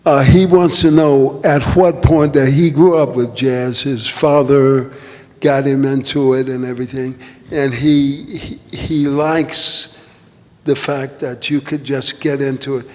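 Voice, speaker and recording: A man moderating an audience Q&A, relaying questions haltingly with restarts. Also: mid-range voice, steady tone, a little mellow. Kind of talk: hosting a Q&A. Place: auditorium with audience. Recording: reverberant hall.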